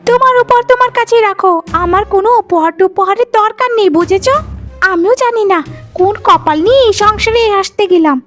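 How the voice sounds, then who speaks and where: A woman voicing a selfish, sharp-tongued young twin bride in a cartoon, scolding and demanding. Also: very high-pitched, fairly quick, soft background music. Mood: aggrieved, sulky. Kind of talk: animated moral-tale voice acting.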